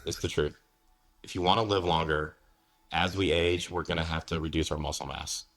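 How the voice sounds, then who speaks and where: A man's voice: extremely low pitch, fairly even intonation, moderate pace, creaky, a bit bright. A man in his forties from the United States, talking candidly as a fitness coach in a casual interview, dropping "man" and "you know" often. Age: 40s.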